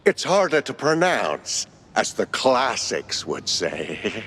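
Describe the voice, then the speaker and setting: An eccentric, obsequious lab caretaker from a cartoonish game. He rambles theatrically at a slow pace, swooping up and down in pitch, his voice ringing with long reverb. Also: huge pitch swings, a bit bright, slightly rough, full character voice. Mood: eager. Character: eccentric, servile male lab caretaker, gothic henchman. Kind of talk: cartoonish game cutscene acting.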